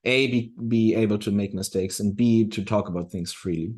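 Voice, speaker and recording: A man in a casual discussion, speaking reflectively and haltingly, often restarting his phrases as he works through a thought. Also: fairly low pitch, darkish tone.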